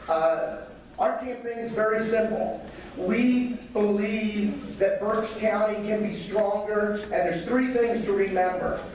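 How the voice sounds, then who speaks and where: A hoarse man with a raspy voice pitched fairly high gives a campaign pitch to a meeting crowd. He is heard from some distance in a very echoey hall, with a faint hiss behind him. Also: darkish tone. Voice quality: raspy, losing his voice. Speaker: county commissioner.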